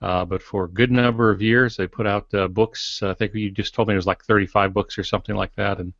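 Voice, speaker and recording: A man in his sixties with a lowish voice hosts a podcast informally. He talks in a halting, folksy way with a light joking touch. The sound is dry but slightly dull and lo-fi. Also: steady tone, vocal fry, a bit bright, no echo. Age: 60s. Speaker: podcast co-host. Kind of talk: informal guest introduction.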